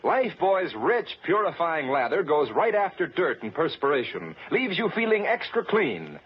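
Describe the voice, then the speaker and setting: Male American commercial announcer, fairly high, lively, persuasive, vintage radio ad, muffled old recording. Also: normal speed, slightly rough. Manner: persuasive, emphatic. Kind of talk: 1940s radio advertisement. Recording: dull, faintly hissy vintage radio recording.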